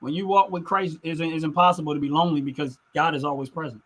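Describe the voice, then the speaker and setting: A man's voice: on the high side, fairly even intonation, fast, a bit bright. A middle-aged man hosting a livestream, talking casually and with strong opinions, emphatic and repeating phrases to drive them home. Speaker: livestream host. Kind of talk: casual opinionated livestream talk, responding to chat.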